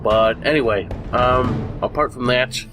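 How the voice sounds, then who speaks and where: A man's voice: mid-range voice, unhurried, over music. A man who sounds congested from a recent illness gives a casual, rambling news update for his channel, full of fillers and restarts. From United States. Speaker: gaming YouTuber.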